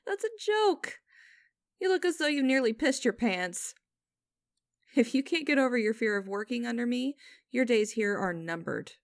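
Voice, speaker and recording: Woman acting a high-voiced, domineering lady boss. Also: animated, no echo. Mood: mocking. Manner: condescending, commanding, deliberate. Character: cold, domineering, mocking female boss with a grudge. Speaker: audio roleplay voice performer. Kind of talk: ASMR roleplay acting, direct address to listener.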